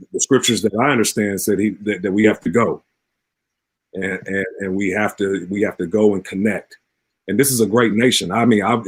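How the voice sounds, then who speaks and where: A man's voice: on the low side, slightly rough, no echo. A man in his forties, a pastor speaking earnestly as an interview guest, repeating phrases for emphasis in a preacher-like way. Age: 40s.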